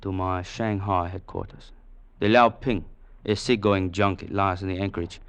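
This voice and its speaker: An actor playing a proud, ruthless Chinese warlord general in an old radio drama, speaking in terse, formal, commanding phrases. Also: male voice, sits low, steady tone, normal speed, creaky, clean tone. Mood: curt, commanding. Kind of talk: 1940s-50s radio drama acting.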